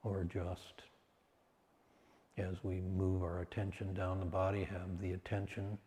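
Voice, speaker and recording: A man with a low, dark-toned voice guides a meditation in a soothing manner. He speaks very slowly and leaves long pauses between short phrases. His words carry a long, hall-like reverb. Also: completely flat delivery. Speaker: meditation teacher.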